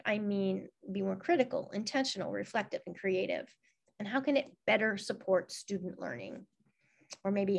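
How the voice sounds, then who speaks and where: A middle-aged woman presents a teacher workshop over a video call, her voice somewhat high and grainy with vocal fry. She talks in a loose, conversational way, sprinkling in "um" and asking "right?" as she goes. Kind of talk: online teacher-training talk.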